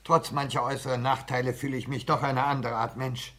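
An actor playing a sickly, touchy lodger full of wounded dignity, querulous and self-pitying, in a 1960s German radio play. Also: male voice, medium pitch, flat delivery, a little fast, pushed and bright, close to an everyday voice. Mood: defiant.

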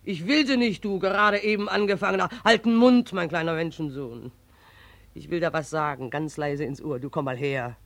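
Creaky, scolding old river spirit. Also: female voice, deep voice, moderate pace, no room sound. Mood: scornful, commanding. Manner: coarse, commanding, scolding. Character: gruff, bossy old river spirit, motherly but scornful. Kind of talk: 1940s radio drama acting.

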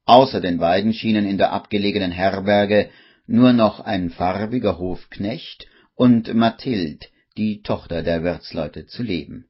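A man narrating a German radio drama from the 1950s as a measured, scripted storyteller. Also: lowish voice, husky. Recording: muffled vintage recording, faint noise.

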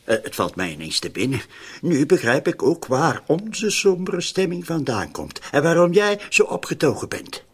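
An actor playing an uneasy, suspicious criminal plotter in a radio play, speaking in a halting, insistent way and repeating his words. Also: on the high side, sing-song, slightly rough. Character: uneasy, suspicious male criminal plotter, brooding over a murder. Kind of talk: Dutch-language radio play acting.

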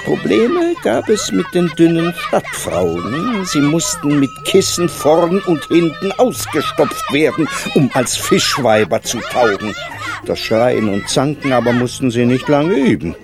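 A theatrical storyteller for a whimsical radio play, savouring every word. The delivery is slow and swings dramatically up and down, with music clearly playing behind it. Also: mid-range voice. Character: expressive storyteller narrating a whimsical town festival. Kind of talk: scripted radio play narration.

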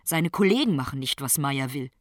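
An actor playing a detached narrator in a radio drama, speaking in terse, clinical, emphatic statements. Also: male voice, medium pitch, expressive, a little fast, no echo, clean studio sound, lightly acted. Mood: clinical, insistent. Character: detached clinical male narrator reporting a man's decline.

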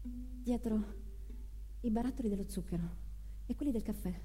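A woman's voice: on the high side, normal speed, mellow, soft background music, lightly acted. An actress playing a spirited young single mother in a theatrical monologue, emphatic and given to repeating phrases. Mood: wistful. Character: spirited young single mother telling her life story. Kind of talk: theatrical first-person monologue with acted dialogue.

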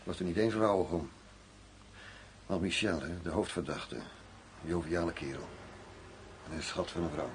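An actor playing a wry, weary, middle-aged undercover police commissioner in a radio drama, speaking in a measured, dry way. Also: male voice, low-pitched, natural voice. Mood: weary, reflective. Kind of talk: Dutch radio drama acting. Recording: noisy radio play recording.

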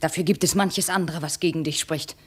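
Bitter slave woman in 50s radio drama, low husky voice, curt and challenging. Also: steady tone, brisk. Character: bitter, defiant slave woman, resentful former lover. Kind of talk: 1950s German radio drama acting.

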